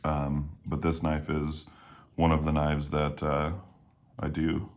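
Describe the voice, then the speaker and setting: A man casually talking while taking apart and cleaning a knife at home, a little hoarse today, relaxed and rambling with plenty of fillers. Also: rumbling low, takes it slowly. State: slightly hoarse. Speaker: knife reviewer. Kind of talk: casual talk while working. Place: home room with windows.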